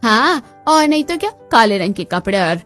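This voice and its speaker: A woman's voice: a little high, big pitch swings, normal speed, fairly bright tone, faint music behind. A woman voicing a mocking, gossipy neighbour in a family cartoon, with a taunting manner.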